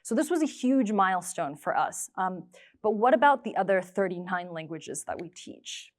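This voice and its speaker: A woman's voice: mid-range voice, expressive, normal speed. A woman in her thirties giving a prepared conference presentation on a lapel mic. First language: English. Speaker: creative producer, presenter. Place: indoor studio stage. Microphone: clip-on lapel mic.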